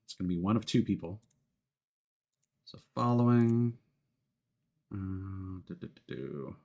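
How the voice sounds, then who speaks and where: A man's voice: low-pitched, expressive, unhurried, gravelly, a little mellow. A man chatting casually to viewers on a livestream in an easygoing, rambling way. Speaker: VTuber livestreamer. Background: music.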